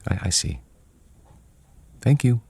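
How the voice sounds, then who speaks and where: An actor playing a timid, evasive grown son who speaks politely and hesitantly in an old television drama. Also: male voice, medium pitch, big pitch swings, takes it slowly, vocal fry, dark tone, husky, dry recording, exaggerated voice. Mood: shy, evasive. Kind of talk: mid-century television drama acting.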